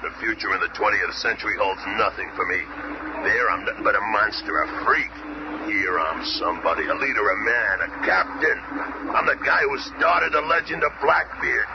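An actor playing a gruff, brash rock-skinned strongman hero with a gravelly voice and rough, slangy tough-guy talk in a radio superhero drama. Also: fairly low pitch, normal speed, lightly acted. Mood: proud, defiant. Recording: muffled, very noisy old radio recording.

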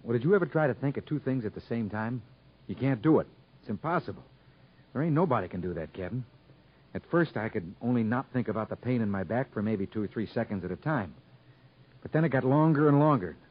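A self-taught convict gunsmith with a folksy rural American drawl, earnest and stubborn. His voice has a rough, husky edge, heard through a muffled old radio drama recording. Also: mid-range voice, lively intonation, fast. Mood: reflective, earnest. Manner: folksy, ungrammatical, earnest speech. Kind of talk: 1950s radio drama acting.

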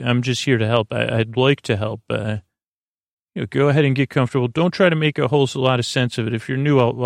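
Creaky-voiced man rambling soothingly. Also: mid-range voice, no room sound, studio quality. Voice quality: creaky, gravelly. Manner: lulling, soothing, halting with tangents. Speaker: sleep podcast host. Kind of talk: rambling, meandering bedtime monologue.

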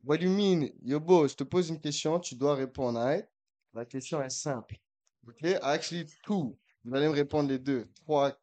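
A man's voice: fairly high pitch, normal speed, mellow. Slangy man hosting a podcast. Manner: slangy, mixing French and English. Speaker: podcast host. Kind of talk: casual chat, prank-style phone calls.

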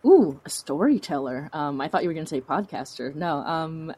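A woman's voice: low voice, animated, normal speed, dark tone, breathy edge. A woman talking casually as an interview guest, hesitating and repeating words for emphasis. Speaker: podcast guest, creator.

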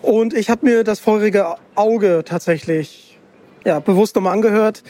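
A man's voice: sits high, a little slow, mellow. A man hosting a casual interview in an enthusiastic manner. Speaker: podcast host, interviewer. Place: outdoors at a book fair.

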